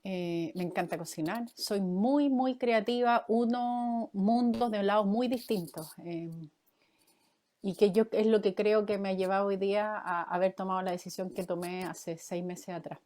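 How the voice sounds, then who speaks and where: Woman in her fifties, from Chile, interview guest, thoughtful, pausing often, mid pitch. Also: fairly even intonation, mellow. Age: 50s. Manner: thoughtful, with many pauses and fillers. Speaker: guest, former mayoral candidate and coach. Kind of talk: reflective interview answers.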